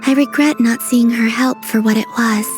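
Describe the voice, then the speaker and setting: A young woman from a video game, played by an actress, earnest and reflective. Her voice sits a little high and is gentle yet frank, with soft music behind. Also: fairly even intonation, normal speed, natural voice. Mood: reflective, regretful.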